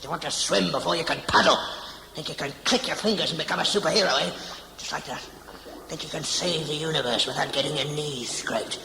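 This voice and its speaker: A gravelly, crotchety old man, played by an actor, a self-styled genius healer who hectors and sneers in earthy colloquial speech. He is heard in a reverberant space with noticeable noise behind him, in the manner of a sci-fi audio play. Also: fairly high pitch, brisk. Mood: scornful. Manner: colloquial, hectoring, rhetorical "eh?" tags. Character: cantankerous older male healer, self-proclaimed genius, scolding and mocking. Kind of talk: audio drama acting. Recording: very echoey room, clear background noise.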